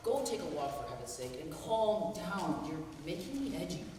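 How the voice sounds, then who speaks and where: An actor playing a brusque, dismissive husband in a stage play. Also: male voice, high voice, big pitch swings, moderate pace, noisy background. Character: dismissive husband, greedy and impatient. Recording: echoey hall from far off.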